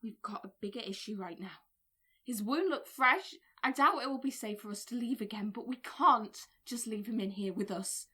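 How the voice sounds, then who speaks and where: An actress playing a practical, exasperated survivor in a naturalistic audio drama. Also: female voice, a little high, moderate pace, sharp tone, breathy edge. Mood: confused, worried.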